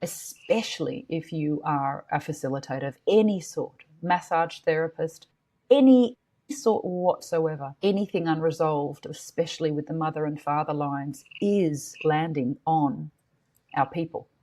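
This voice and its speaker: A middle-aged woman talks in a low, husky voice. She is earnest and passionate as a podcast guest, swearing lightly in casual speech. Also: a little slow, mellow. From Australia. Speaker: guest, school co-founder. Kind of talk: podcast interview.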